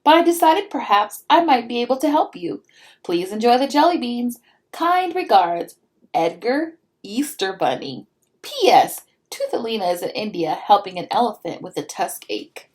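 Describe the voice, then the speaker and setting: A haughty storybook Easter Bunny, read out by a woman. His voice is high and lively in pitch. He sounds formal and grandly polite, with an indignant edge to his words. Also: normal speed. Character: huffy, haughty male Easter Bunny writing a letter.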